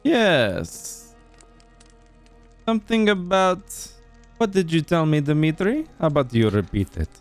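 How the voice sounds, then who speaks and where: A man's voice: a little high, sing-song, soft background music. Man playing a suave, swooping vampire lord. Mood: probing, controlled. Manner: courteous, theatrical, deliberate. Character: suave, formal vampire lord hosting a soiree. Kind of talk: Minecraft roleplay acting.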